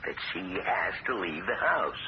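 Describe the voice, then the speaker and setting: A middle-aged actor playing a sly, self-pitying uncle who talks smoothly and wheedles, his voice jumping up in pitch, in a 1970s radio drama. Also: big pitch swings, moderate pace. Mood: scheming. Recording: muffled old radio broadcast with hiss.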